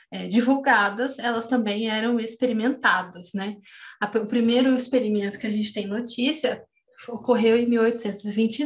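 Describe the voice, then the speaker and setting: Hesitant Brazilian woman lecturing online. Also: on the high side. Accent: Brazilian Portuguese. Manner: hesitant, many restarts and fillers. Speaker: postdoctoral researcher lecturing. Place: home room, seen on webcam. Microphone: video call webcam.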